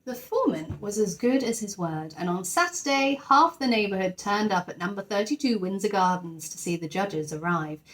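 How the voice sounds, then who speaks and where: A woman's voice: mid-range voice, lively intonation, moderate pace, hardly any pauses, rough recording. A woman narrating a children's story expressively, like a teacher reading aloud to her class.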